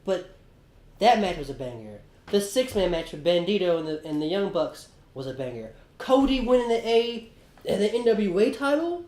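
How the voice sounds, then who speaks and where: Excitable man on an echoey podcast. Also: high voice, normal speed. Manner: excitable, animated, swears casually. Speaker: podcast co-host. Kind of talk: casual podcast chat.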